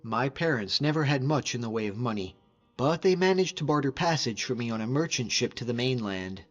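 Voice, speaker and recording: A man playing a friendly, modest lone-wolf hunter as a video game companion, speaking in an earnest, measured way. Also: mid-range voice, lively intonation, highs cut off, soft background music, lightly acted. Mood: reflective, candid.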